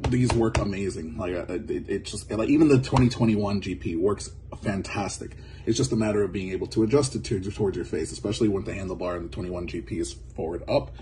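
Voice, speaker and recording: A man giving a casual, unscripted product demo as a reviewer, halting and often repeating or correcting himself. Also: lowish voice. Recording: clearly noisy background with clicks.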